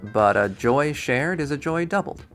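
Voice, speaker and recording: A man's voice: mid-range voice, expressive, unhurried, a bit bright, faint music behind. Man playing a wry young college guy. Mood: eager. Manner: casual, self-deprecating. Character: wry, eager-to-please young male college student.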